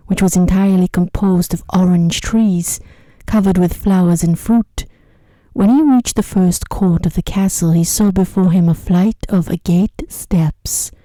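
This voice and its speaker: A woman narrating a sleep story in a soft-spoken ASMR style, with a hushed, gentle, measured delivery close to the microphone. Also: fairly low pitch, no echo, poor audio.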